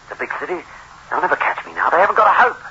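An actor playing a frantic, guilty husband in 1960s radio suspense, stammering, agitated and defensive. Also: male voice, fairly high pitch, big pitch swings, rapid-fire, sharp tone, slightly rough, full character voice. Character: frantic, guilty husband fleeing a fatal road accident. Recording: dull, faintly noisy old radio recording.

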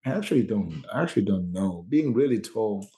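A man with a fairly low, warm and relaxed voice, co-hosting a casual podcast debate. He talks things through as he goes, restarting and hedging his points. His tone stays laid-back and conversational. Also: a little fast. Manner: hesitant, restarting, reasoning aloud. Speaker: podcast co-host.

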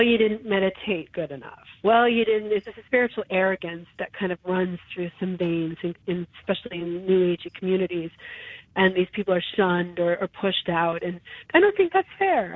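A woman's voice: medium pitch, dry recording. A woman hosting a talk show, speaking earnestly and reflectively and often restarting her sentences. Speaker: show host. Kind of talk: conversational interview talk. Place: her home. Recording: tinny phone-like line.

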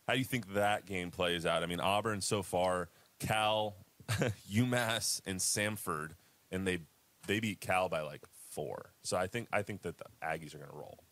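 Deep-voiced young man chatting sports. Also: moderate pace, dry recording. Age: 20s. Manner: animated, laughs often, rambling restarts. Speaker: podcast co-host. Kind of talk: casual sports chat.

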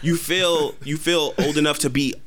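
A man's voice: a little high, steady tone, normal speed, fairly bright tone. A man in his twenties, a guest on a podcast, chatting casually in a laid-back, slangy way and thinking aloud. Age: 20s. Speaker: guest artist. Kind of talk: casual chat.

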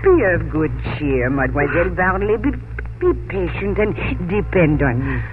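Actor as a gallant, high-voiced reassuring gentleman. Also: expressive, normal speed, noisy background, close to an everyday voice. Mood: reassuring, solemn. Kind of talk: 1950s radio drama acting. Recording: narrow vintage radio broadcast over music.

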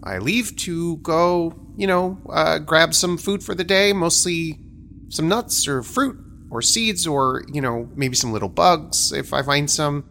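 A man's voice: fairly high pitch, unhurried, creaky, sharp tone, slight background noise, heavily acted. A man playing a chatty, blunt male blue jay, rambling with many fillers in improvised comedy.

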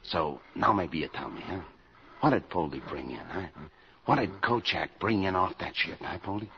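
An actor playing a menacing gangster boss in a 1950s radio drama, talking tough street slang, both wheedling and bullying. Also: male voice, deep voice, animated, moderate pace, creaky. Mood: falsely soothing. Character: menacing gangster boss, falsely soothing, quick to snarl. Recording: muffled, noisy 1950s radio broadcast.

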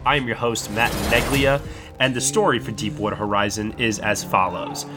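A man's voice: lowish voice, steady tone, moderate pace, music in the background. A man hosting a film review podcast, chatty and upbeat as he introduces the guests. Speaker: podcast host.

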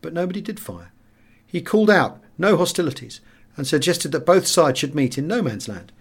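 A middle-aged English man reading a memoir aloud as an expressive audiobook narrator. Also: a little high, normal speed, creaky, slightly rough. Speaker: audiobook reader.

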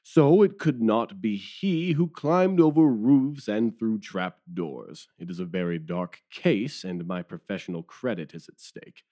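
A man plays a humbled police detective in an audio drama. The voice is low and mellow, with pitch that swings widely. He is wordy, deferential and rueful. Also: expressive, a little slow, few pauses. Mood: rueful, worried.

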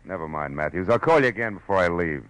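A man's voice: deep voice, animated, quick pace. An actor playing a wry, world-weary private detective, terse and sardonic, telling his case in a 1940s radio drama. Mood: brisk. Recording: vintage radio drama with music.

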